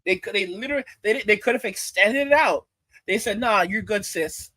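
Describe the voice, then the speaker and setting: Man, 40s, high husky voice, stammering mocking rant. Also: moderate pace, sharp tone, no room sound. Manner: repetitive, stammering, mocking asides. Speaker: commentator reacting to a clip. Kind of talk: ranting commentary.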